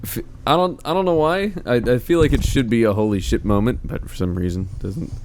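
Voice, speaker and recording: A man's voice: mid-range voice, a little fast, darkish tone, soft background music. A young man hosting a casual podcast chat, easygoing and laughing often as he steers the conversation. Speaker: podcast host.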